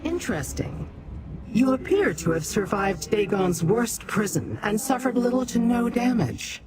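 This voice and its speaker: An actress playing a cool, knowing woman in a fantasy video game, speaking in a formal, measured way over music. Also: fairly high pitch, big pitch swings, poor audio. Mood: curious, appraising.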